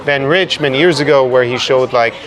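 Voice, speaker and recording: A man hosting a casual podcast interview, chatty and quick with frequent restarts, recorded outdoors in a city. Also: mid-range voice, fairly bright tone. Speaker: podcast host.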